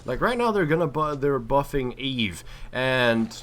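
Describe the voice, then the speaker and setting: A man's voice: mid-range voice, animated, unhurried, fairly bright tone. A man giving casual, opinionated gaming commentary in a slangy, profane way. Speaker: gameplay commentator and player.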